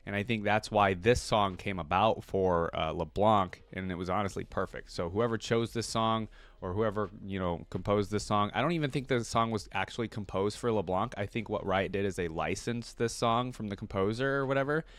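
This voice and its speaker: A man's voice: on the low side, moderate pace, a bit bright, no room sound. A man hosting a casual reaction video, talking in a rambling, chatty way with lots of fillers and restarts. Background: faint music playing.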